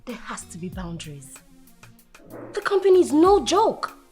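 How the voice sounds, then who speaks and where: Proud businesswoman, high voice swinging all over, stubborn and sharp. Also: sing-song, moderate pace, faint music behind. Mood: firm. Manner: tender with a child, explosive in anger. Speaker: businesswoman running the family company.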